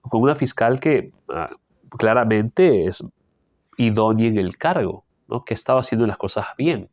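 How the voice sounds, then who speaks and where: Male commentator, Peruvian accent, deep voice, dry close mic, keeps clearing his throat. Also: lively intonation, moderate pace, darkish tone, dry recording, dull audio. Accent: Peruvian Spanish. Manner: frequent throat clearing and tag questions. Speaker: political commentator reading the news. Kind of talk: reading a news column aloud with personal asides.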